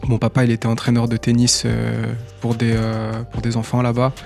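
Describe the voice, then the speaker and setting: Laid-back young man, fairly even tone. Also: mid-range voice, moderate pace, vocal fry, faint music behind. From born in Qatar, lived in Tunisia, grew up in France from age six. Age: 20s. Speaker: rap artist being interviewed. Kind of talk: casual chat, slangy.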